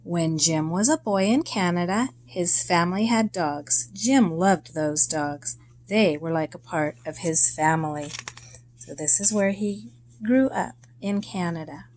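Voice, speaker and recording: A woman reading a children's story aloud in a deliberate, expressive way, in short phrases with pauses. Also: on the low side, a little hiss or hum.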